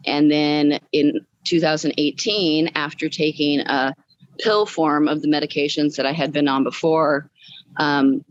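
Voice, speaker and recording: A woman's voice: low-pitched, dry recording, highs cut off. A woman guest telling her personal story in a casual, upbeat way during a podcast interview. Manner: upbeat, chuckles as she talks.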